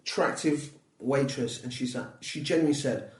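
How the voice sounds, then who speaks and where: A man's voice: mid-range voice, normal speed, recorded from a distance. Mancunian man ranting, echoey room. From England, Manchester area. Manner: swearing, animated, jokey rants. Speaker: guest comedian. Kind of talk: casual podcast chat.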